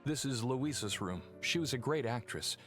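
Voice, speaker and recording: Earnest young gentleman, average-pitched, troubled, reflective, formal diction, game cutscene, prominent background music. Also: animated, a little slow. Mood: somber, reflective. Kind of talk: video game cutscene voice acting.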